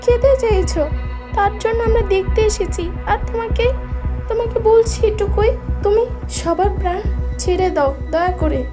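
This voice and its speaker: A woman's voice: very high voice, moderate pace. A woman voicing a small brave bird in a cartoon story, earnest, insistent and pleading. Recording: music under the voice. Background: music.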